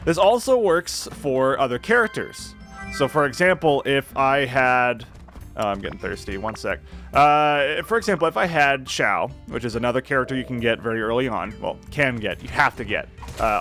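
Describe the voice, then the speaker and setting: A man's voice: mid-range voice, lively intonation, moderate pace, a bit bright. A man giving casual, rambling, self-deprecating commentary while playing a video game. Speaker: gaming video host. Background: music.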